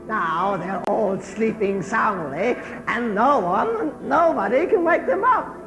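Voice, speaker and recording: A man playing a gloating wicked witch in a stage pantomime, with a theatrical, cackling, drawn-out delivery. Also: high-pitched, animated, full character voice. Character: gleeful wicked witch villainess, theatrical and menacing. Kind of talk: pantomime villain addressing the audience. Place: large indoor theatre stage. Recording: echoey hall stage recording with music.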